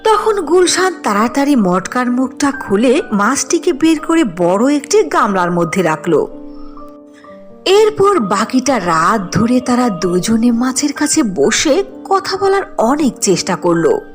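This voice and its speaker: A woman narrates a cartoon folk tale in a scripted storytelling style. Her voice sits fairly high and moves with very lively intonation, with music playing behind it. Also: a little slow.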